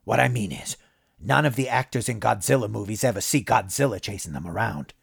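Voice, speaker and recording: A man voicing a weary, wary actor who speaks low and subdued. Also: lively intonation, fairly quick, gravelly, slightly rough, dry recording, studio quality, full character voice. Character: weary, wary middle-aged male actor.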